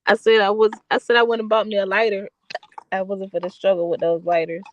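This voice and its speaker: Soft-spoken tired woman, stuffy. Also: mid-range voice, level tone, brisk, dull audio, a little hiss or hum. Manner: soft-spoken, rambling with restarts. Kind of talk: casual late-night chat.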